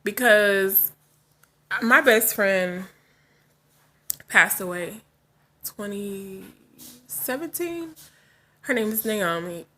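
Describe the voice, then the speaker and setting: A woman's voice: medium pitch, lively intonation, very slow, long pauses, bright, forceful tone, slight background noise. A woman in her forties talking casually to camera in a vlog, frank and chatty, laughing often and drifting into asides, just woken up. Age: 40s. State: just woke up. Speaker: vlogger.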